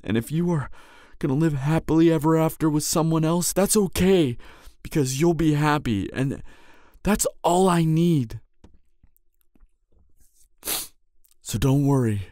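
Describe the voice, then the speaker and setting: An actor playing a devoted, lovestruck young knight in an audio drama, speaking in a halting, earnest and emotional way. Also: male voice, a little high, warm tone, dry recording, clean studio sound, close to an everyday voice. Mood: grieving, pleading. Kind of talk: intimate audio drama acting.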